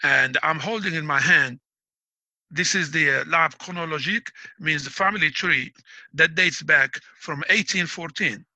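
A man's voice: a little high, normal speed, pushed and bright, no room sound. A middle-aged man from Algeria, talking in an interview. He explains things like a teacher, proud and emphatic. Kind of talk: casual interview talk.

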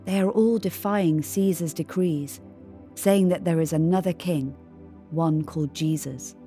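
A woman reading a scripted devotional in a measured, reflective manner. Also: sits low, warm tone, soft background music. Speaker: devotional reader.

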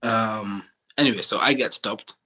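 A young man from Kenya in casual chat, telling stories in a relaxed way with many fillers and restarts. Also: fairly low pitch, measured pace, breathy edge.

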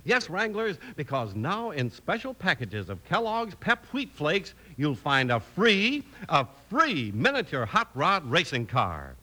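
A man's voice: huge pitch swings, unhurried, few pauses, bright, forceful tone. A man announcing and narrating in an emphatic, enthusiastic mid-century radio style. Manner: emphatic, enthusiastic pitchman delivery. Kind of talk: 1950s radio announcing, scripted. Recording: faintly hissy old radio recording.

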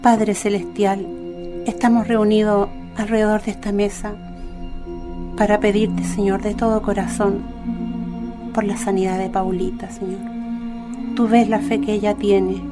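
A woman's voice: medium pitch, flat delivery, unhurried, long pauses, over loud music, lightly acted. An actress playing a tender, earnest middle-aged mother and devout daughter in a radio drama. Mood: pleading prayer. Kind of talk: Spanish-language radio drama acting.

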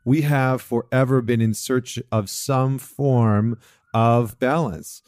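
A man's voice: mid-range voice, steady tone, slow pace, a little mellow, no room sound, studio quality. A man hosting a solo talk show, speaking in a reflective, earnest way with fillers and restarts. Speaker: podcast host, men's coach. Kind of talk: casual solo talk with a read passage.

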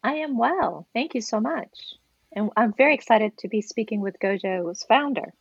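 A woman co-hosting a podcast interview, asking careful, measured questions. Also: a little high, expressive, breathy edge, no echo. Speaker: podcast co-host. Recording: slightly dull lo-fi audio.

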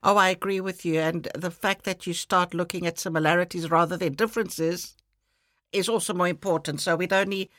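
A woman from Cyprus hosting a podcast interview, asking her guest questions in a gentle, measured way. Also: on the low side, fairly even intonation, no echo, clean studio sound. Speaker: podcast host.

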